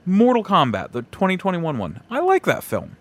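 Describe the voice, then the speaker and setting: Animated man's voice, swinging in pitch. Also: a little slow. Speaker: podcast host. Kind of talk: casual solo opinion commentary.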